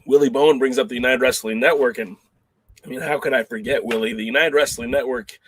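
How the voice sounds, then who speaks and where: A man hosting a laid-back talk show, chatting loosely and filling gaps with ums and uhs. His voice sits at an ordinary middle pitch. The sound is close and dry. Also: fairly even intonation, a little fast, a bit bright, husky, no echo, low-quality recording. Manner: rambling and conversational, lots of fillers. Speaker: podcast host. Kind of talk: casual chat show.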